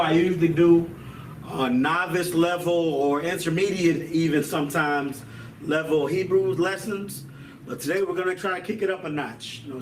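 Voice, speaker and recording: A man's voice: a little high, echoey room, far from the mic, slight background noise, rough recording. A man teaching a Hebrew language class in a casual style, often tacking on "man" and "you know what I'm saying.".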